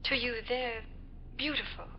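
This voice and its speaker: A woman's voice: fairly high pitch, steady tone, unhurried, close to an everyday voice. An actress playing an uneasy, curious woman brought from the past in a 1950s radio drama, hesitant and earnest in her questions. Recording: muffled 1950s radio recording.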